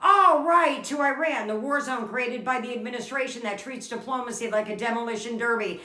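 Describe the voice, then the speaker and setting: Comedy skit: female performer as sardonic, exasperated office coworker, withering and articulate, bright tone, echoey room. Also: fairly high pitch, fairly quick. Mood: weary sarcasm. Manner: dry, withering, articulate sarcasm.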